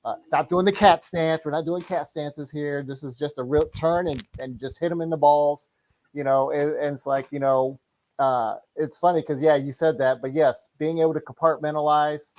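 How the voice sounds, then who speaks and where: A middle-aged man speaking casually as an interview guest and martial arts instructor, rambling and halting as he explains things. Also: fairly high pitch, fairly even intonation, a little mellow, low-quality recording.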